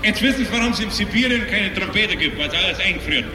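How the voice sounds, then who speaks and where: A Bavarian man announces live outdoors, his voice pitched high and improvising as he goes. He is distant from the microphone, with a long reverberant echo and clear noise around him. He rambles colloquially and corrects himself. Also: expressive, fast talker. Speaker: announcer at an outdoor carnival event. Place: outdoors in a cold city space. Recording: echoey outdoor PA, distant, noisy.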